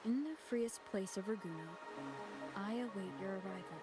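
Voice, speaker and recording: Clear music sits behind a woman acting a composed, reflective video game heroine. She has a mid-pitched voice and speaks formally, in measured and thoughtful phrases. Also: close to an everyday voice. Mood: solemn, poetic. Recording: background music under the voice.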